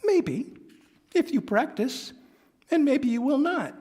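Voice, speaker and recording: A man voicing a patient, kind monster mother in a storybook read-aloud, with a gentle, soothing manner. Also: very high-pitched, sing-song, takes it slowly, big-room sound, voice pushed up, full character voice.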